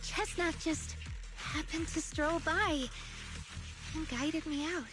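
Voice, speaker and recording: A woman voicing a gentle, quirky, trusting young girl in an anime video game, with an earnest, expressive delivery. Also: high-pitched, slow pace. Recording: game audio under clear music.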